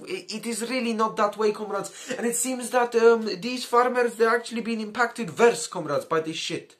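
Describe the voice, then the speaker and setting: A man's voice: high voice, fairly bright tone. A man delivering an informal political commentary as a brash, boastful comic communist persona, swearing freely and addressing listeners as comrades. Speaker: video host.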